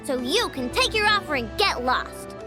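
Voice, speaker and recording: A woman's voice: sits high, expressive, normal speed. A woman voicing a feisty, stubborn little inventor girl in a video game, speaking emphatically over music. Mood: defiant, indignant. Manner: emphatic, defiant. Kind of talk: animated video game voice acting.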